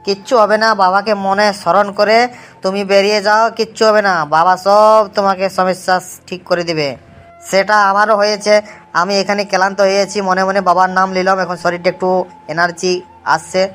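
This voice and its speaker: A man's voice: sits high, a bit bright. A man voicing an encouraging, devout young male pilgrim in an amateur cartoon, with a reassuring, repetitive way of talking. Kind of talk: amateur cartoon dialogue, vlog-like.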